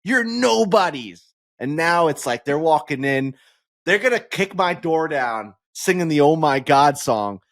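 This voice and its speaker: A man's voice: fairly high pitch, animated, a little slow, a bit bright, dry recording, studio quality. A man co-hosting a podcast, ranting in casual sports talk and swearing freely. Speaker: podcast co-host.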